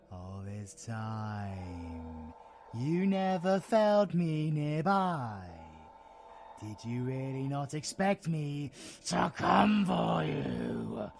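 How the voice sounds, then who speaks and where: A gleefully predatory ancient vampire with a British accent, made for villain audio roleplay. The voice is creaky and gravelly, crawling along at an extremely slow pace. It swings widely in pitch, mocking and theatrical, as if toying with prey. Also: male voice, big pitch swings, exaggerated voice. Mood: predatory, taunting. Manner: theatrical, mocking, taunting, breaking into singsong. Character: sadistic grey-skinned ancient vampire monster, male, gleefully predatory.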